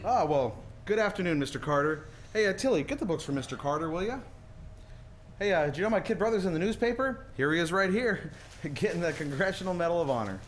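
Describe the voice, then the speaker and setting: Radio drama lead: kind, folksy businessman, played by a man, animated pitch, rapid stammering delivery. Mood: friendly, bustling. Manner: folksy, hesitant stammer, exclamatory. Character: earnest, excitable, kind small-town businessman. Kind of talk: 1940s radio play acting.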